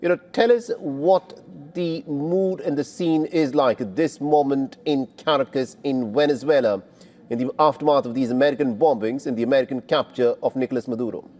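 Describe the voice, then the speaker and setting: A man anchoring live breaking news, reading the background and then interviewing a guest in a measured, clear newsreader delivery. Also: medium pitch, darkish tone.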